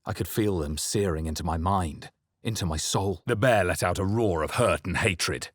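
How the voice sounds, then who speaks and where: A man playing a grave, brooding adventurer hero in a fantasy video game, narrating in a measured, solemn, dramatic way. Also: deep voice, no room sound, studio quality. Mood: brooding, intense. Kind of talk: dramatic first-person game narration and character lines.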